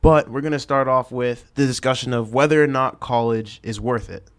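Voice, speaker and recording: A man's voice: medium pitch, fairly even intonation, moderate pace. A nineteen-year-old man hosting a roundtable talk show. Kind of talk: hosted roundtable chat.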